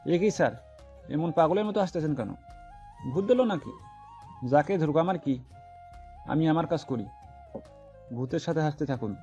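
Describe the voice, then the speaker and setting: A man's voice: a little high, lively intonation, normal speed, long pauses, dark tone, faint music behind. A man playing a nosy, grumbling police constable in an audio story, insistent and colloquial, in dull lo-fi sound. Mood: baffled, grumbling. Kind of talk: dramatised audio story acting.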